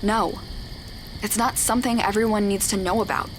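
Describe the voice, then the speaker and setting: A woman playing an authoritative, secretive, dry-humored leader of magical girls in an audio drama, commanding and deadpan. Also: medium pitch, moderate pace, noisy background, natural voice. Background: music.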